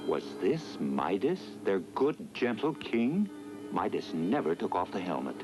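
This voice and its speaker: A man's voice: deep voice, big pitch swings, unhurried. A man narrating a scripted fairy tale in a dramatic storytelling manner. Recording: music behind the voice.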